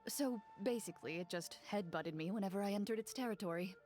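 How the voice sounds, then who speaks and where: A woman plays a reserved young swordswoman in a video game. She speaks formally and with understated composure at a medium pitch. Faint music runs underneath. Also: fast, a little mellow, lightly acted. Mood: dryly amused. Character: composed, reserved young female champion duelist.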